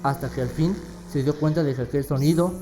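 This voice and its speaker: A man narrating a scripted radio drama in a measured, dramatic storytelling manner. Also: fairly high pitch, fairly even intonation, low-quality recording. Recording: clear music behind the voice.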